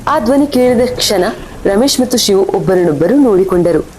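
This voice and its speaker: Mellow woman narrating a horror story. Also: lively intonation, a little fast, poor audio. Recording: faint rumble and rain behind voice.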